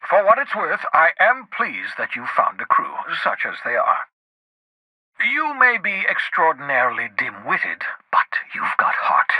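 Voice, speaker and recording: An actor playing a cantankerous, socially awkward scientist in a video game, raspy, with a fussy, wordy, theatrical delivery. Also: male voice, on the high side, huge pitch swings, a little slow, sharp tone, no room sound, heavily acted, rough recording. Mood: grudging praise. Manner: fussy, wordy, dramatic, with muttered asides.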